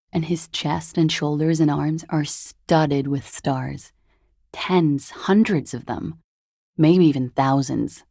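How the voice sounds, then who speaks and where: Game femme fatale: world-weary, wry, guarded; slow, unhurried pace, mellow timbre, dry close sound. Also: female voice, low voice, no room sound, exaggerated voice. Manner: languid, hesitant, wry. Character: world-weary, guarded, seductive disco-dancer woman recounting a dead lover. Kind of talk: video game dialogue acting.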